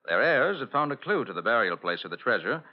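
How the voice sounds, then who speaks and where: An actor playing a confident, genial master detective with an American accent in a 1940s radio drama, on an old broadcast recording. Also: male voice, mid-range voice, very animated, fast talker, highs cut off. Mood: friendly, inviting.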